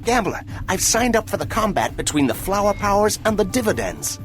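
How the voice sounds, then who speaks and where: A man playing an eager, cocky young robot hero in a video game, with a brash, lively delivery. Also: sits high, fairly quick, faint music behind. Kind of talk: animated video game dialogue.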